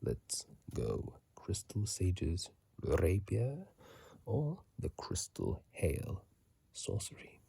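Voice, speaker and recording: A man whispering casual ASMR game commentary in a hushed, breathy voice, close to a phone microphone. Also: low voice, huge pitch swings, drawn-out words. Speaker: ASMR video host.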